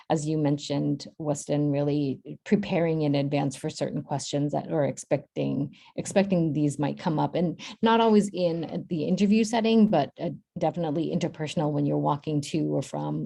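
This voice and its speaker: Casting: female workshop host, low creaky voice, halting informal advice-giver who often rephrases herself. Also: mellow. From Boston, United States. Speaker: workshop host and centre staff speaker. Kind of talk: informal workshop advice over video call. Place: virtual workshop video call.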